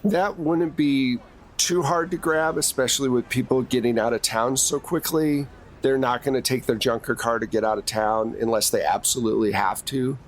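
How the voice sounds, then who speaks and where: Male game master, medium pitch, casually guiding players through a tabletop role-playing session. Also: normal speed, slight background noise.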